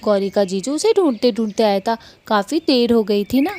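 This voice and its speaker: A woman's voice: fairly high pitch, lively intonation, normal speed, a little mellow, slight background noise. A woman giving scripted narration for a children's cartoon story, as the storyteller.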